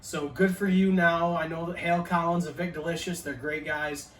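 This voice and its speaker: A man in his early forties chatting casually as a show co-host, his voice sitting a little high. He sounds set back from the mic in an echoey room with a faint hum of ambience. His talk is laid-back and peppered with swearing. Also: little pitch movement, moderate pace, a bit bright, far from the mic. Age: 40s. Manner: profane, laid-back banter. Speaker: podcast co-host. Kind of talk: casual chat.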